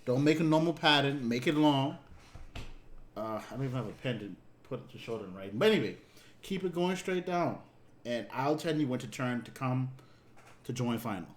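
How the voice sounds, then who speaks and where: Informal man with a mid-pitched voice. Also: expressive, normal speed, slight background noise. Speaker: air traffic controller reacting to a video. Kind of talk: casual reaction commentary with radio phraseology.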